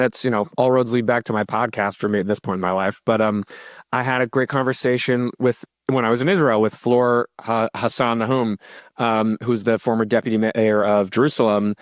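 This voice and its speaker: A man's voice: mid-range voice, brisk, no echo. Reflective man, dry close sound. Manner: reflective, thinking aloud with fillers. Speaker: podcast host. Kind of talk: conversational interview.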